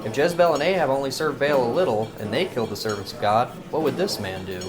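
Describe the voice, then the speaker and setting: A man's voice: mid-range voice, fast, a crowd in the background, rough recording. Earnest male Bible story narrator. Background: guitar music.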